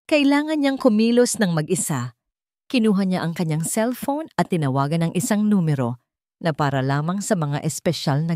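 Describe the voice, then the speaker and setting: A woman narrates a romance drama as a storyteller, reading from a script in a dramatic style. Her voice sits a little low, and the recording is close and dry, with very little room sound. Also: dark tone, dry recording.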